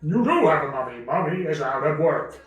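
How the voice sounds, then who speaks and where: A man's voice: a little high, big pitch swings, brisk. A man voicing a bossy, blustering, greedy dragon father who scolds his son in a homemade children's puppet show. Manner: scolding, exclamatory, swooping. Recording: very echoey room, distant mic.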